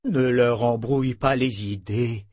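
A man voicing a frail old woman, a bitter and sharp-tongued abbess, in a drained breathy voice for an audiobook. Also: mid-range voice, fairly even intonation, unhurried, no room sound, clean studio sound, lightly acted. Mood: grave, tired. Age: older.

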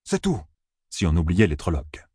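A man's voice: low-pitched, huge pitch swings, normal speed, mellow, husky, no echo, studio quality, full character voice. A man voicing an earnest young blacksmith who gives orders firmly. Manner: firm, commanding.

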